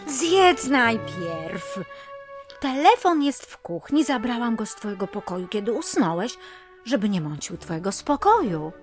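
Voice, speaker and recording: A woman's voice: a little high, big pitch swings, unhurried. A woman playing a fussy, overprotective aunt of fifty in a radio comedy, fretting and coddling as she chatters, with music playing behind her. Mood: coddling, fussing. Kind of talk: radio comedy drama acting.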